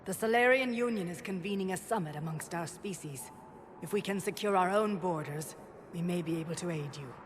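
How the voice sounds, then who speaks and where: A woman's voice: on the low side, a little fast, noisy background, natural voice. A woman playing a composed, cool alien diplomat in a video game, poised and formal. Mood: cool, questioning. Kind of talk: dramatic video game acting. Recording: echoing hall, faint background ambience.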